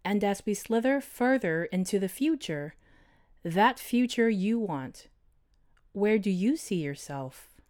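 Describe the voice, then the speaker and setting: A man voicing a gentle, wise female owl hypnotist in a storybook, with a soft, smooth, calm and soothing delivery. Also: high-pitched, slow pace, voice pushed up. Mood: soothing, hypnotic. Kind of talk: storybook character voice.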